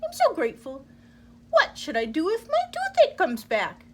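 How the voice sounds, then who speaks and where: A woman voicing a big, bossy crocodile in a children's storybook, with loud, dramatic delivery. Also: huge pitch swings, normal speed, heavily acted. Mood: grateful. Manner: booming shouts and wailing groans. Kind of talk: children's storybook read-aloud.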